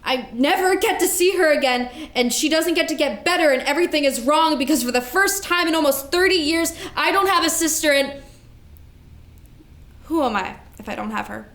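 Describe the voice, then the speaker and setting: An echoey room carries the fairly high voice of a woman acting as a devoted older sister in a stage drama. She speaks earnestly, weighed down by grief and duty. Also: lively intonation, normal speed, fairly bright tone. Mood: grieving. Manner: earnest, emotional delivery. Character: devoted, grieving older sister carrying guilt and responsibility. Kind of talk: staged play reading.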